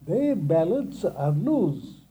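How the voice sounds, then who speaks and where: An elderly man, slowly recalling his life in an oral history interview and often stopping to restart his words. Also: high-pitched, very animated, dark tone, a little hiss or hum. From Russian Empire, near Kiev. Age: older. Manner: halting, with many restarts and repeated words.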